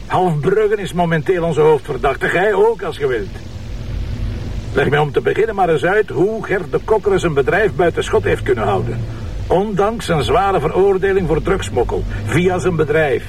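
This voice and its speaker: An actor playing a gruff, impatient police commissioner in a TV crime drama, blunt and sarcastic, with a Flemish accent. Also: male voice, on the high side, expressive, normal speed, recorded from a distance, a little hiss or hum, rough recording. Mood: irritated, scornful. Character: gruff, irritable male police commissioner with a sharp tongue. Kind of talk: naturalistic TV crime drama acting.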